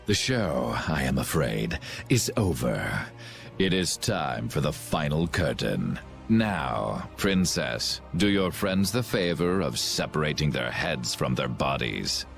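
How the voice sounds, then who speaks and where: A man voicing a cold, grandiose villain commander in a video game, speaking in a pompous, measured, theatrical way. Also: a bass voice, sing-song, gravelly, faint music behind, full character voice. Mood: smug, menacing.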